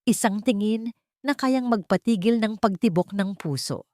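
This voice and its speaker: A woman's voice: mid-range voice, moderate pace, warm tone, no echo, clean studio sound. A woman narrator reading a scripted dramatic love story.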